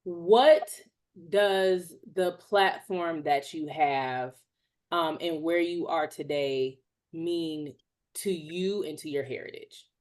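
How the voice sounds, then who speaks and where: A woman hosting a panel who asks measured, careful questions, hedging with "kind of" and "right?". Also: deep voice, a little mellow. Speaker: host, moderator. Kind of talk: interview questions.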